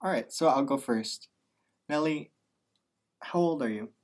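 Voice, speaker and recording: A man's voice: a little high, measured pace. A nineteen-year-old man co-hosting a podcast, playful and teasing in casual chat. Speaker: podcast co-host, business student. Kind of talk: scripted intro, then casual chat.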